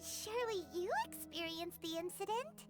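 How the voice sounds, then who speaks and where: A woman voicing a small, childlike, chirpy fairy companion in a video game, earnest and nosy. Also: moderate pace. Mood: curious, earnest. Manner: squeaky, pleading, refers to herself by name. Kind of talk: scripted video game dialogue. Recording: game audio under loud music.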